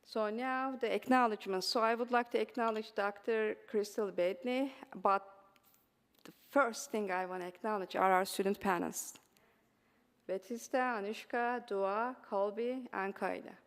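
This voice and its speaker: A woman's voice: mid-range voice, steady tone, normal speed, long reverb tail. A woman from Turkey with a Turkish accent, giving an earnest conference talk on teaching, with many ums and uhs. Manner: frequent um and uh fillers, earnest and encouraging. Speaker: presenter. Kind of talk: conference presentation. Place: symposium hall.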